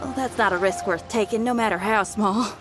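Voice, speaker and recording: A woman's voice: medium pitch, brisk, natural voice. An actress playing a perky, upbeat young female rock musician in a video game, with a bubbly, folksy manner. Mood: excited. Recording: loud game music behind the voice.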